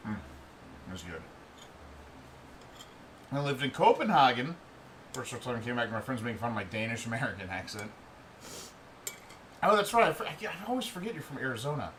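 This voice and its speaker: A man in his thirties hosting a livestream in a relaxed, rambling way, chatting and reading out viewer comments. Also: mid-range voice, a little fast, long pauses. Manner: relaxed, rambling, answers chat as he goes. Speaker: livestream host. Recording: steady background noise.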